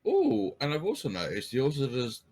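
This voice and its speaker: A man's voice: medium pitch, wildly swooping pitch, brisk, a little mellow, breathy edge. A man chatting casually about films as a guest on a podcast. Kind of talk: casual film podcast chat.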